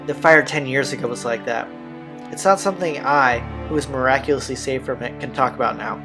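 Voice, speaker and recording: A man hosts a playthrough, reading narrative text aloud in a middle-pitched voice. His delivery is informal and slangy. Game music plays clearly behind him. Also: brisk. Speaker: let's-play host reading a visual novel aloud. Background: visual novel soundtrack.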